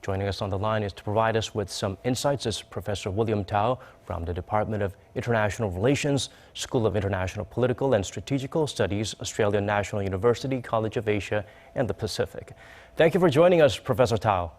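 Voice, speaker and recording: A man's voice: lowish voice, fast, darkish tone. A man conducting a broadcast news interview in a formal manner, with some hesitant phrasing.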